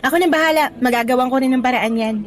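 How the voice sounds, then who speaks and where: High-voiced, cheerful young woman teacher played by an actress. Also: quick pace, natural voice. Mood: hopeful, friendly. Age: young adult. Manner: bright-spirited, chatty, appreciative. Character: cheerful young woman, nervous but hopeful new teacher. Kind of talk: conversational Tagalog radio drama acting.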